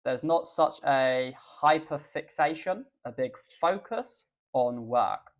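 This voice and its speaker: A young Englishman with an English accent, a guest chatting in a podcast interview, relaxed and thoughtful with the odd um. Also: mid-range voice, slow pace. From England. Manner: relaxed, thoughtful, with filler ums. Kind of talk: casual interview chat.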